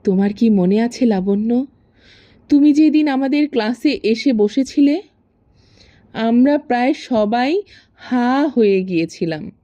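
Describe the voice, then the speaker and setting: A woman reciting a romantic poem expressively, with tender, wistful and deliberate phrasing, in a muffled, echoing recording. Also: on the high side, lively intonation, mellow. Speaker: poetry reciter.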